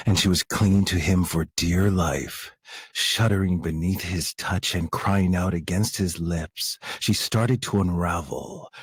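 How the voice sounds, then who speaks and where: Very deep, gravelly, whispery male narrator. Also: no room sound. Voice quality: gritty and whispery. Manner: slow, drawn-out delivery. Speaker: voice actor contestant. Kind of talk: sensual audiobook narration.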